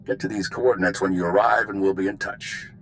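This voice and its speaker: An actor playing an authoritative intelligence commander in a video game, measured and grave. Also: male voice, fairly low pitch, steady tone, a little hiss or hum, close to an everyday voice, rough recording. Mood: measured, commanding.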